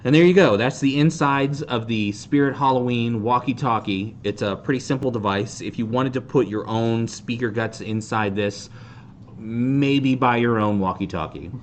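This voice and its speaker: A man in his thirties casually hosting a hands-on how-to demonstration in a deadpan, self-deprecating way. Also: medium pitch, moderate pace. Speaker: host of a fan group's how-to video. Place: indoor tabletop video shoot. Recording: clear background noise.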